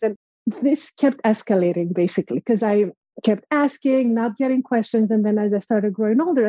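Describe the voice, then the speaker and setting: A woman's voice: a little high, mellow, dry recording, dull audio. A young woman from Greece telling a personal story as a podcast guest, earnest and reflective. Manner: earnest, reflective, acts out quoted voices.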